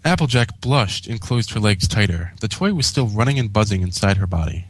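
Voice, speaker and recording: A man's voice: fairly low pitch, lively intonation, brisk, husky, no echo. A man reading a scripted story aloud as a co-host and narrator. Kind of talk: scripted fan fiction narration.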